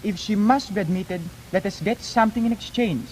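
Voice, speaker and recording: A man's voice: high voice, brisk, a little mellow, a little hiss or hum, poor audio. A man with a Filipino accent speaking in formal, declamatory debate style on a 1950s archive recording. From Philippines. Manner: declamatory, articulate.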